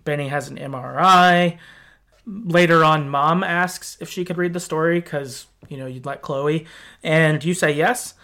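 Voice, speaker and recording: Casting: man with a higher-than-average voice, casual podcast host retelling a story, conversational and tentative. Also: unhurried, sharp tone. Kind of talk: casual podcast discussion, recapping a game's story.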